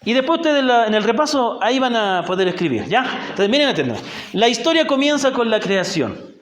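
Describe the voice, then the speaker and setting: A Chilean man with a high voice leads a Bible class as a missionary teacher. He talks patiently, putting questions to his listeners and checking that they follow. His voice carries through an echoey church hall. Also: very animated, fairly quick, fairly bright tone. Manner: patient, asks the group questions, often checks with "¿ya?". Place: church hall in a rural community.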